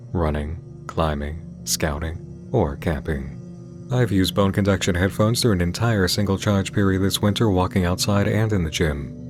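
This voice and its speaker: A man delivering scripted video-essay narration in a measured, formal, articulate way. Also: sits low. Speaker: host of video essay channel on horror series. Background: music under the voice.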